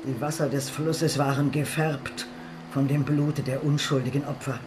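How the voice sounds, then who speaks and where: A man's voice: mid-range voice, level tone, moderate pace, over music, lightly acted. A man playing a very old, mournful village woman in a radio drama, speaking in solemn, declamatory tones. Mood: mournful, accusing.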